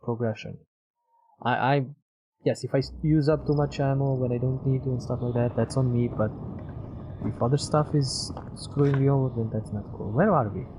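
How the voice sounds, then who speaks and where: A man's voice: medium pitch, steady tone, moderate pace, mellow, husky, low-quality recording. A man giving casual live video game commentary as a streamer, thinking aloud, muttering to himself and swearing freely. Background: music.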